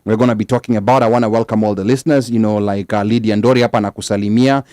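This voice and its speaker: A man's voice: lowish voice, fairly even intonation, rapid-fire, darkish tone, no echo, clean studio sound. A man hosting a live interview show, upbeat and repeating his words. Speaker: talk show host.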